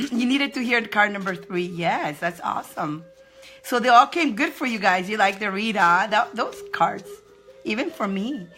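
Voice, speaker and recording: An upbeat woman hosting a live card-reading stream in a chatty, encouraging way, greeting viewers by name and laughing often. She talks at an ordinary middle pitch and repeats her phrases for emphasis. Soft music plays faintly behind her. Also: expressive, normal speed.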